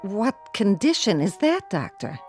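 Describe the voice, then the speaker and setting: An actress in a radio drama playing a plain-spoken, wisecracking housewife with a sardonic, folksy manner. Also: female voice, medium pitch, sing-song, a little slow, soft background music. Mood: skeptical, concerned. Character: wisecracking, plain-spoken working-class housewife, exasperated with husband.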